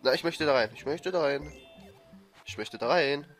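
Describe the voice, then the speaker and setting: A man with a cold giving excitable, frustrated commentary over a platform game he is playing. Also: fairly high pitch, normal speed. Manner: frustrated, excitable, swears and laughs. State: has a cold, coughing. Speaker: let's player. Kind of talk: gameplay commentary. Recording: faint game music behind. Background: game music.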